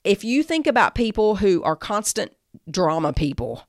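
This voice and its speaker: A woman's voice: medium pitch, very animated, moderate pace, dry recording. Woman doing a bible teaching, earnest, kinda conversational, leans on key words, really dry close recording. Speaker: Bible teacher and show host. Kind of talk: devotional teaching, part scripted, part conversational.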